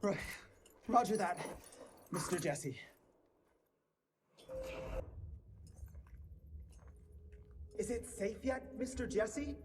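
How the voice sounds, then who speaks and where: A woman's voice: medium pitch, lively intonation, a little slow, background noise, lightly acted. A woman playing a nervous, nerdy young inventor in a video game, rambling through jargon in a flustered, eager way. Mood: nervous, polite. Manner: flustered, jargon-heavy, stammering. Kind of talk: video game cutscene acting.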